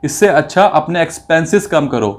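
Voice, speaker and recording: A man's voice: on the high side, animated, a little fast, very noisy. A man giving opinionated commentary in an indignant, accusatory manner, asking rhetorical questions and mixing in English phrases. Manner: mixes English phrases into speech. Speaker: video commentator.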